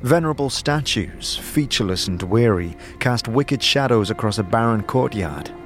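A man narrating a scripted fantasy audio drama in a measured, dramatic storytelling manner. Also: medium pitch, expressive, faint music behind.

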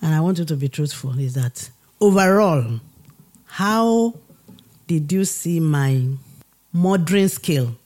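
A middle-aged Nigerian woman with a Nigerian accent, a guest on her son's podcast, speaking earnestly and deliberately. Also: low voice, animated. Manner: earnest, deliberate, laughs warmly. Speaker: guest, host's mother. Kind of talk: casual family podcast chat.

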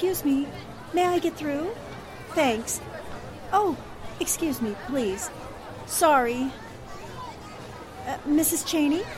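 An actress playing an earnest, polite newspaper reporter in the style of 1940s radio drama. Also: female voice, high voice, slow pace, pauses often, crowd noise. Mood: polite, concerned.

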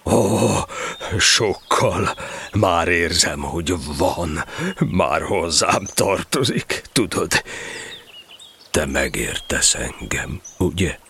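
An actor playing a gloomy, self-pitying donkey in a children's audio drama, grumbling in a mournful, dryly sardonic way. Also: male voice, deep voice, animated, pushed and bright, slight background noise, full character voice. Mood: wounded gratitude. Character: gloomy, self-pitying old grey donkey, long-suffering and sarcastic.

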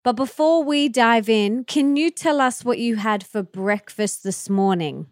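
A woman's voice: fairly high pitch, measured pace, no room sound, studio quality. A woman speaking as a podcast host, with an effusive, enthusiastic way of introducing and interviewing.

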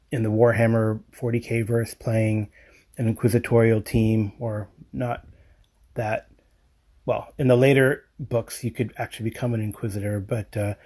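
A man with a dark, mellow voice leaves a casual message for a podcast. His intonation stays quite flat, and he rambles haltingly, letting phrases trail off. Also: medium pitch, slightly rough. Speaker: podcast caller.

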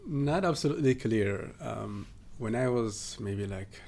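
A man from southern Ethiopia, a guest in a podcast interview, talking casually with many pauses and fillers. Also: medium pitch, animated, vocal fry, crowd noise. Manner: hesitant, many fillers and restarts.

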